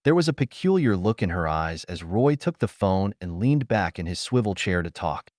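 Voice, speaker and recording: A man narrates pulp science fiction in a low voice. His pitch moves about a great deal as he reads, and the sound is dry and close. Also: big pitch swings, normal speed, a little mellow, no room sound. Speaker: audiobook narrator.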